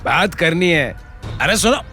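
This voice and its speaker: A man's voice: sits high, lively intonation, a little slow, music in the background. A man voicing a pushy, desperate cartoon character who pleads insistently. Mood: pleading. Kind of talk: cartoon voice acting.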